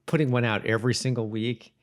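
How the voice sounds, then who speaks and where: A man co-hosting a podcast in a casual, chatty style, often halting and rephrasing as he goes. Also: mid-range voice, steady tone. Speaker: podcast host. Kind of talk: casual co-hosted chat about a TV show.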